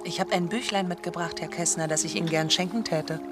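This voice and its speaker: An actress playing a polite, eager church sister in a radio drama. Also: female voice, fairly low pitch, fairly even intonation, brisk, over loud music, lightly acted. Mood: friendly. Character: polite, eager Protestant sister, friendly with old man.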